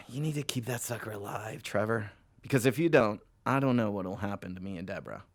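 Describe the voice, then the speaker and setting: A man's voice: fairly low pitch, fairly quick, no echo, lightly acted. An actor playing a needy, hard-drinking philanderer of a phone salesman in an audio drama, rambling and swearing as he goes. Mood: pleading, evasive.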